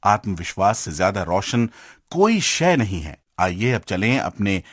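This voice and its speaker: A man with an Indian accent presenting a scripted radio show with measured, rhetorical emphasis. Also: lowish voice, sing-song, no echo, studio quality.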